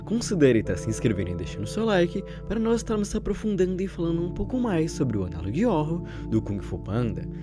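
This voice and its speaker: Young male Brazilian video essayist: expressive pitch movement, wordy conversational storytelling, clear music bed underneath. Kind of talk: conversational scripted commentary. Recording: background music under the narration.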